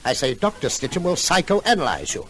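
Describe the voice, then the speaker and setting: A man's voice: a little high, wildly swooping pitch, brisk, a bit bright. An actor playing a fussy, exasperated father in an old-time radio comedy sketch. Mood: fussy, worried. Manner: fussy, stammering repetitions when flustered. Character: flustered, exasperated father, suspicious of his daughter. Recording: old radio recording, faint audience.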